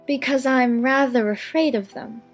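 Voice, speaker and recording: A woman's voice: sits high, steady tone, normal speed, soft background music. A woman voicing a curious, polite, slightly anxious young girl in a children's audiobook, earnest and questioning. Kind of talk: dramatized children's audiobook acting.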